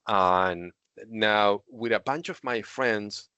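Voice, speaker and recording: A man's voice: fairly low pitch, slow, pushed and bright, clean tone, no room sound. A middle-aged man from Argentina, a podcast guest who tells stories in casual chat and laughs often. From Argentina, Patagonia. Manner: laughs often while telling stories, many fillers.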